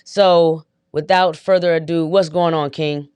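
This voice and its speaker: A woman's voice: low-pitched, steady tone, a little slow, a little mellow, smooth, no room sound. A woman hosting a podcast in a hyped, slangy, upbeat way, casually chatting with her guest. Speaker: podcast host. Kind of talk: casual interview chat.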